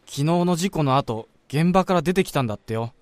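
A man acting an excitable, gossipy office colleague in a Japanese radio drama, informal and easily exasperated. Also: fairly high pitch, brisk, dry recording, close to an everyday voice. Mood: teasing, exasperated. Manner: animated, exasperated, informal storytelling. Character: excitable, gossipy male office colleague relishing an eerie rumour.

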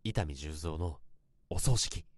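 An actor playing an earnest young office worker who narrates a past infatuation in a radio drama, with polite, literary phrasing. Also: male voice, a bass voice, takes it slowly, no echo, heavily acted. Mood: earnest, confessional. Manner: polite, formal, literary storytelling.

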